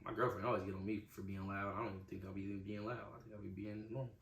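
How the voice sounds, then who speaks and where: Loud gravelly young man host. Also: on the low side, little pitch movement, very fast. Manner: loud, carrying voice. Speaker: podcast host. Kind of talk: casual chat. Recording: echoey room, distant mic.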